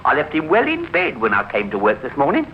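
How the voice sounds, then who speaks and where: An actor playing a suspicious, determined gentleman, formal and probing, in a 1950s radio crime drama. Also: mid-range voice, huge pitch swings, a little fast. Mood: resolute. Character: suspicious, determined gentleman investigating a friend's disappearance. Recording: dull, noisy old radio recording.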